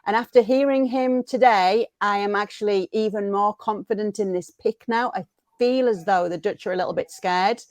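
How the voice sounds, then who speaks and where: Female sports host, mid-pitched voice, conversational panel discussion, dry close studio sound. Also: no echo. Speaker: football show host.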